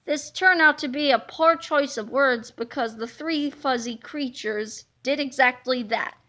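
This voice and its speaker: A woman reading a children's book aloud as an expressive narrator. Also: high-pitched, unhurried.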